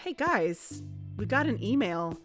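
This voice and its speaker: A woman's voice: mid-range voice, big pitch swings, unhurried, fairly bright tone, soft background music. A woman playing an earnest young amateur investigator on a fiction podcast, serious and careful. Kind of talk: fiction-podcast dialogue and narration.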